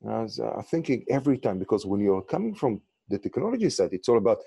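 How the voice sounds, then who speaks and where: A man with an Israeli accent speaks on a close, dry mic, his low voice mellow and relaxed. He talks with animated leaps in pitch on stressed words and often restarts his sentences. It sounds like a podcast interview guest. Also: fast talker, vocal fry, slightly rough, no echo. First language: Hebrew. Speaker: guest, insurtech founder.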